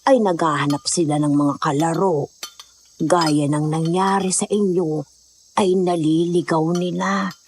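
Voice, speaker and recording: Woman acting as older mountain woman, low voice, motherly, unhurried, faintly eerie, horror audio drama. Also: fairly even intonation, a little mellow, a little hiss or hum, lightly acted. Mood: grave, knowing.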